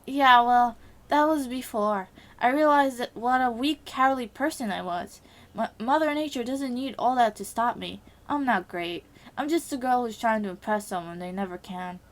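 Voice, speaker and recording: A woman voicing a brash, fiery young woman warrior who is excitable and quick to shout. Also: fairly high pitch, natural voice. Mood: dejected.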